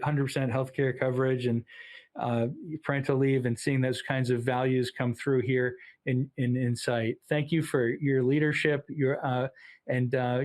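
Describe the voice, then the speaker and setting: A man hosting a business interview, speaking at a medium male pitch. He sounds enthusiastic and warmly appreciative of his guest, quick to affirm and praise what he hears. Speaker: interview host. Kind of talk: interview host.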